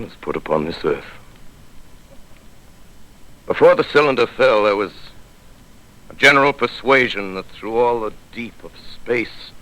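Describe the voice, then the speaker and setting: An actor playing a solemn, weary scholar who survived an invasion, narrating in a grave, theatrical 1930s radio drama style. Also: male voice, fairly low pitch, gravelly, a bit bright, heavily acted, rough recording. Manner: grave, measured, theatrical, reflective.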